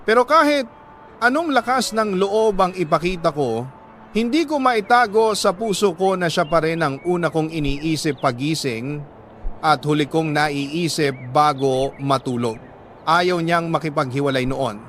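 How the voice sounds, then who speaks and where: Filipino male radio storyteller, slightly high voice, emotive and deliberate letter reading, faint noise in the recording. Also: fairly bright tone. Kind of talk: dramatic first-person narration of a letter.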